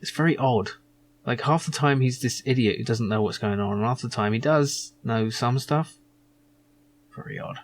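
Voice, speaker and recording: A man's voice: medium pitch, normal speed, a little hiss or hum. A man hosting a let's play, giving casual, musing commentary as he goes through an adventure game. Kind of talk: casual gameplay commentary, reading game dialogue aloud.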